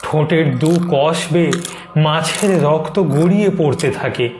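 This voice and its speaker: Male storyteller for animated ghost tale: slightly high-pitched, scripted narration, echoey with faint noise behind. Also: normal speed.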